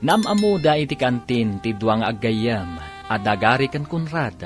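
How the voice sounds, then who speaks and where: A man's voice: mid-range voice, sing-song, a little slow. Male radio serial narrator, mid-range voice, swooping dramatic delivery, music behind. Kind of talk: scripted narration, dramatic radio announcing in Ilocano. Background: music bed.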